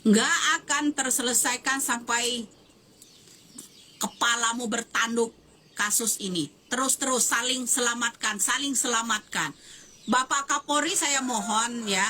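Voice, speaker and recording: A woman's voice: high-pitched, sharp tone, slight background noise. A woman in her fifties, a social media commentator, giving a fiery, emphatic statement that repeats phrases and demands answers. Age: 50s. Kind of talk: impassioned video statement.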